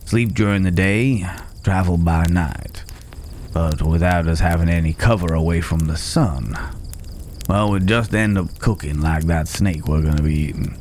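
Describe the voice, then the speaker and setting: Deep male cowboy acted by a man, calm, protective, folksy, gently teasing, ASMR roleplay, crickets chirping behind. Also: slight background noise. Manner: unhurried, folksy, hesitant pauses, gently teasing. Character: gruff but caring male cowboy stranger, calm and protective. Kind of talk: immersive ASMR roleplay acting. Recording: outdoor night ambience with chirping crickets.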